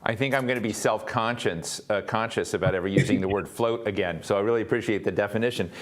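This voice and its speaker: A man's voice: fairly low pitch, fast talker. A man moderating a panel, asking long conversational questions that search for words, with fillers and rephrasing.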